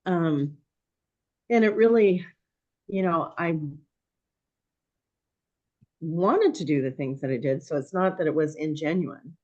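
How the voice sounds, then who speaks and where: Hoarse woman in her sixties, reflective. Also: deep voice, slow, pauses often, darkish tone, dull audio. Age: 60s. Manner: thoughtful, hesitant with frequent ums. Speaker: podcast guest. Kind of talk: reflective conversation.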